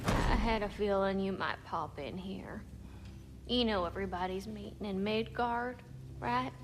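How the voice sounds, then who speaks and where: An actress playing a friendly, warm-hearted female blacksmith in a video game, talking in a casual, folksy, encouraging way. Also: mid-range voice, unhurried, background noise, natural voice. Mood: friendly, gentle. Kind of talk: video game cutscene acting. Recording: game music behind the voice. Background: game music.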